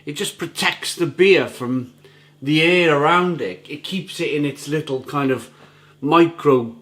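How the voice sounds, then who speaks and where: Gruff-voiced enthusiastic beer reviewer man. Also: on the high side, measured pace, a bit bright. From England. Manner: enthusiastic, savoring, chatty with repeats. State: gruff, rough throat. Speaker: beer reviewer, channel host. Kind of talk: casual review to camera.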